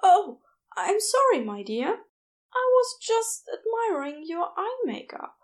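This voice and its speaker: A woman voicing a shy, gentle, easily flustered man in an audio story, hesitant, polite and apologetic. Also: very high-pitched, big pitch swings, slow, pitched above the performer's own voice, heavily acted. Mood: admiring, apologetic. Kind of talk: audiobook character dialogue.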